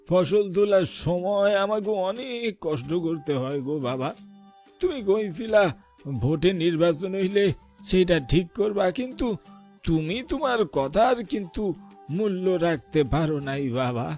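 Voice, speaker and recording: A man's voice: sits high, animated, a little slow, a little mellow, soft background music. A man voicing a weary, plain-spoken old villager in a cartoon, folksy and grumbling, with a rural Bengali dialect. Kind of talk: dramatized cartoon dialogue.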